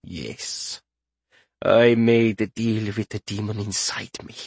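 A man voicing a grim older religious zealot in an audiobook, gruff, solemn and defiant. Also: on the low side, fairly even intonation, slow, darkish tone, no room sound, full character voice. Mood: gruff defiance.

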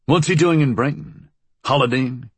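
A man's voice: mid-range voice, moderate pace, slightly rough, dry recording, pitched above the performer's own voice, natural voice. A man reading the part of a brusque, impatient male investigator in an audiobook, curt and commanding. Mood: curt, probing.

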